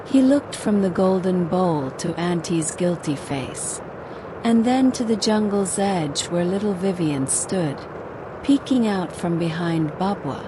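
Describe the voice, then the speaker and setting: Woman folktale narrator: slow, dramatic, scripted storytelling with noticeable background ambience. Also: fairly low pitch, animated, background noise.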